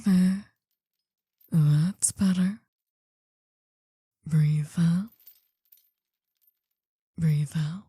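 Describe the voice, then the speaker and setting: Woman acting slow, husky, possessive older mafia boss. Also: on the low side, dark tone, no room sound, clean studio sound, exaggerated voice. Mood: tender, soothing. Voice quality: breathy, half-whispered, husky. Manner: slow, soothing, coaxing. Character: possessive, doting older female mafia boss, soothing and dominant. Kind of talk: intimate ASMR roleplay.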